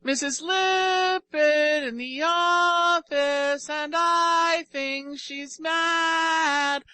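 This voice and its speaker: A woman voicing a young orphan boy in a pious, drawn-out sing-song chant for an audiobook. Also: sits high, fairly even intonation, pushed and bright, dry recording, voice pushed up, full character voice. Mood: singsong, teasing. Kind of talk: audiobook character voice.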